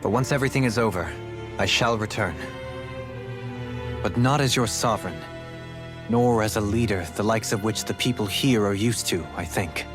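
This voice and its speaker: This is a calm, formal lord in a video game, voiced by a man with a low, even delivery. He chooses his words carefully and speaks with quiet authority. A strong musical score plays beneath him. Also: natural voice. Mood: earnest. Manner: measured, formal and composed. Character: calm, thoughtful noble lord, adult man. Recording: game audio over music.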